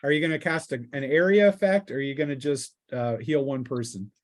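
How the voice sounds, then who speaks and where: A man's voice: mid-range voice, fairly quick. A man speaking casually as game master, narrating a tabletop role-playing game with vivid sound-effect words.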